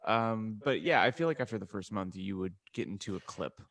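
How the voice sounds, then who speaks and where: A man's voice: lowish voice, lively intonation, a little fast, a bit bright, dry recording. A man co-hosting a casual podcast, talking in a rambling, conversational way. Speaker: podcast co-host.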